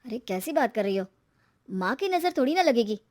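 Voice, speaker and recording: A woman's voice: fairly high pitch, big pitch swings, brisk, darkish tone. A woman voicing an earnest, easily exasperated young married shopkeeper in a cartoon story.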